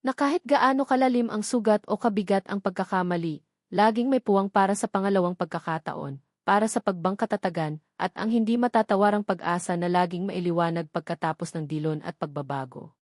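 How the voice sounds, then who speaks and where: A Filipino woman narrates a scripted romance in a dramatic storytelling style. Her voice sits at a medium pitch and her delivery is earnest and sentimental. The sound is dry, with no room around it. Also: fairly quick, no echo.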